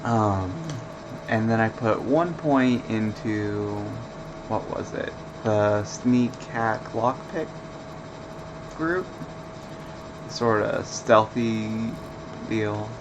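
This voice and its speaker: A man streaming a video game with casual, laid-back commentary in a monotone voice, full of fillers. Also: fairly low pitch, drawn-out words, long pauses, noisy background. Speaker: let's play streamer.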